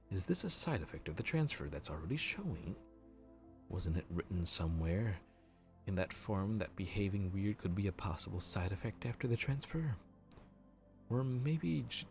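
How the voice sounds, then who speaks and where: A man playing a chatty low-voiced grim reaper. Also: warm tone. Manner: chatty, bantering and a bit awkward. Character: friendly, flustered male grim reaper supervisor. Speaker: voice actor. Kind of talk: audio roleplay acting.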